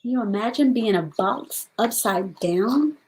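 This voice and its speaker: A woman with a fairly high voice reads a picture book to children at a very unhurried pace. She leaves pauses between short phrases and checks in with her listeners through questions. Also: expressive, a little mellow, low-quality recording. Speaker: storytime host reading aloud to children. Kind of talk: storybook read-aloud with questions to young listeners.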